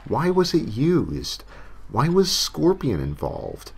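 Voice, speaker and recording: A man's voice: mid-range voice, huge pitch swings, slow, vocal fry, husky. A man narrating a scripted comic horror story in a theatrical way, with emphatic delivery. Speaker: creepypasta narrator. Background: music.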